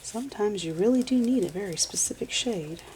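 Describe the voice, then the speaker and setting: A woman's voice: mid-range voice, lively intonation, fairly quick, a little mellow, a little hiss or hum, rough recording. A woman in her thirties, congested, chatting casually as she swatches and compares nail polish, often dropping to a whisper while she works. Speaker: nail art vlogger.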